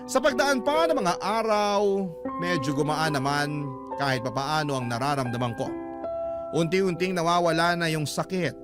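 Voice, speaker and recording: A man's voice: on the high side, normal speed. A man narrating a first-person love letter as a radio storyteller, in a dramatic scripted style. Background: background music.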